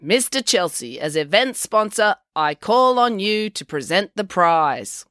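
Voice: mid-range voice, sing-song, a little slow, fairly bright tone, dry recording, clean studio sound, voice pushed up. A narrator voicing a pedantic, officious boy who declares things precisely in a storybook. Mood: declaring.

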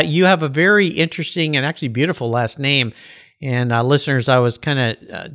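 A man's voice: mid-range voice, fairly bright tone. An American man hosting a podcast with an upbeat, emphatic delivery, moving between interview talk and scripted reads. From United States. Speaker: podcast host.

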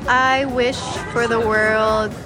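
A woman from the Philippines answering a vlogger's question in a gentle, measured way. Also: fairly high pitch, flat delivery, over music. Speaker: interviewee. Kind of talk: casual street answer.

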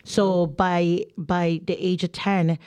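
A woman's voice: lowish voice, flat delivery, slow pace, a little mellow. A woman from Trinidad co-hosting a podcast, telling stories in a chatty, laughing way with lots of "like". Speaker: podcast co-host. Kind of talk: casual chat.

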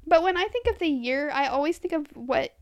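High-voiced young woman, easygoing chat. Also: expressive, a little fast. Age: 20s. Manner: easygoing, agreeable, chatty. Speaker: podcast co-host. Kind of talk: casual chat.

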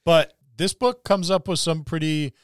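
Male podcast co-host, smooth clear voice, dry close sound, casual, musing, joking gamer talk. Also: fairly high pitch, fairly even intonation, moderate pace, no room sound. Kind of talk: casual tabletop gaming discussion.

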